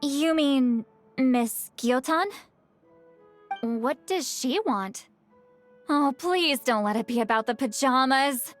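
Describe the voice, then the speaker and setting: A woman's voice: high voice, slow, long pauses, faint music behind. A woman voicing a proud, impatient self-styled granny shaman with a girlish, indignant, dramatic delivery in a video game. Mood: bewildered.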